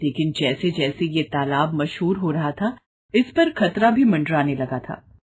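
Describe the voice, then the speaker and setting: Casting: woman narrator for moral tales, expressive melody, slightly low and husky. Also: measured pace. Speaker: storyteller narrator. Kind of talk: scripted fairy-tale narration.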